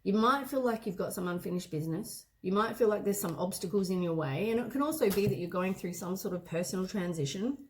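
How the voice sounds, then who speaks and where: A hoarse woman with a head cold talks through a tarot reading at an ordinary middle pitch. She meanders through each card and often corrects herself mid-sentence, like a casual chat to camera. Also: animated, quick pace, hardly any pauses, a little mellow.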